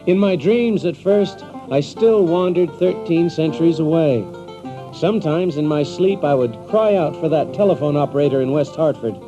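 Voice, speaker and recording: An actor playing a boastful, excitable Yankee adventurer who tells his own tale theatrically in an audiobook drama. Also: fairly high pitch, moderate pace, lightly acted. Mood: reflective, pleased. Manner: theatrical, animated, with chuckles. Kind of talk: dramatized audiobook first-person narration. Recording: dull lo-fi audio with loud music.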